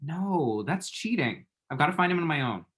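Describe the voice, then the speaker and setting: A man's voice: a little high, expressive, moderate pace, a bit bright. Man acting a chatty, flippant lovesick young guy. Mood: playful. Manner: chatty, dry, self-deprecating, pop-culture asides. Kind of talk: screenplay table read.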